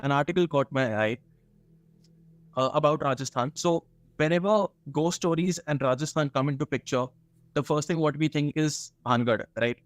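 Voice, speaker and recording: A man with an Indian accent telling a story conversationally, in a halting way with frequent asides. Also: on the high side, no echo.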